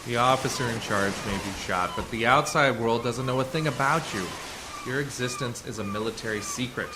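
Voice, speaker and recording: A man playing a calm, assured undercover rescuer in a sci-fi radio play, speaking in a measured, explanatory way. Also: mid-range voice. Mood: reassuring, matter-of-fact. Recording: noisy community radio drama recording.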